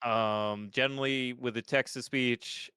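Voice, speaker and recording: A man's voice: medium pitch, fairly even intonation, unhurried, bright, forceful tone, clean tone, dry recording, studio quality. A young man with a stuffed-up nose, chatting on a podcast in a rambling way, with lots of restarts and casual swearing. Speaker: podcast host.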